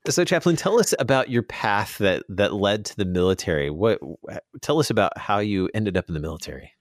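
A male radio host with a fairly low voice talks in a conversational interview style. His sound is dry and close to the microphone, with little room around it. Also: expressive, brisk, dry recording.

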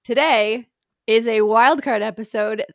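A brisk, upbeat woman hosting a podcast, her voice a bit higher than most. She laughs along easily with the others. The recording is dry and close. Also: steady tone, vocal fry, no echo. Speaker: podcast co-host. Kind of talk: casual chat.

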